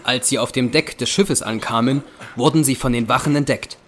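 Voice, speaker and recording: A man's voice: mid-range voice, a little fast, a bit bright. A man narrating a scripted audio drama in storytelling prose.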